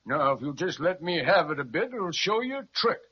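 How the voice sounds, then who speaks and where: An actor playing a kindly, boastful cowboy leader with an American Western drawl in a 1930s children's radio serial. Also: male voice, a little high, very animated, brisk, husky. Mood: folksy, instructive. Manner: folksy, drawling cowboy talk. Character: folksy cowboy Slim, kindly, boastful leader of a little posse. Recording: muffled vintage 1930s radio recording.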